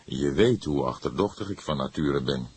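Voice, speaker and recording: A man plays an older police detective in an audiobook with a low, raspy voice. He is calm and wry, lecturing without hurry and with a note of disapproval. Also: normal speed, heavily acted. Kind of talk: audiobook character voice.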